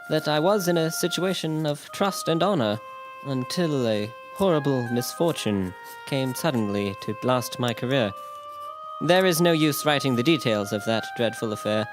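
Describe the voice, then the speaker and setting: An actor playing a weakened, distressed gentleman who dictates a pleading letter in an audio drama, earnest and formal. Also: on the high side, natural voice. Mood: formal, grave.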